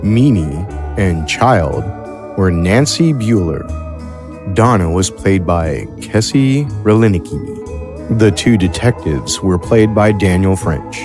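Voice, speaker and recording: A man with a low voice reads scripted credits as an announcer. He takes his time, and music plays clearly behind him. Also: expressive, takes it slowly. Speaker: announcer reading cast credits.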